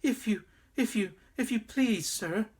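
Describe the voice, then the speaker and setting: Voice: mid-range voice, a little slow, a little mellow, breathy edge, pitched above the performer's own voice. A storyteller voicing a frightened young boy who stammers and pleads. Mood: terrified.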